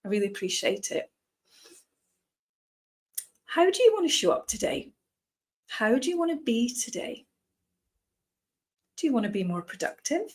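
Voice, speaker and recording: A woman's voice: a little high, big pitch swings, moderate pace, long pauses, a little mellow, slight background noise. A woman with an Edinburgh Scottish accent, a meditation teacher giving an earnest motivational talk full of rhetorical questions. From Scotland. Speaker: guest speaker, meditation teacher.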